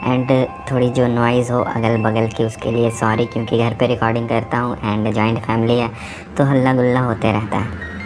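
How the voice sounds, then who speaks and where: A man's voice: mid-range voice, little pitch movement, moderate pace, over music. A man narrating a novel aloud at home, with a sore throat from a cough, voicing the dialogue as he reads. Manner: casual, mixes English words into talk. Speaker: audiobook narrator. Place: home recording, busy household.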